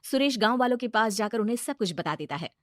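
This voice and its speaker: High-voiced woman narrating a story. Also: animated, quick pace, no echo, clean studio sound. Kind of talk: scripted moral-story narration.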